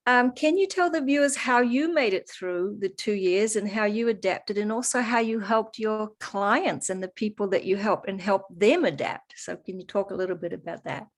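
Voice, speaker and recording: A woman with a New Zealand accent hosting an interview. She poses questions and keeps her guest going with short encouraging replies and laughter. Her voice sits at an ordinary middle pitch. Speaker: host, coach.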